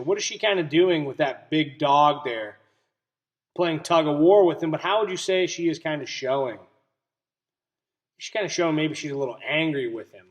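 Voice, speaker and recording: Higher-voiced man teaching through a read-aloud. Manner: explanatory, checks in with simple questions. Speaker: teacher reading a picture book aloud. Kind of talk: children's read-aloud lesson.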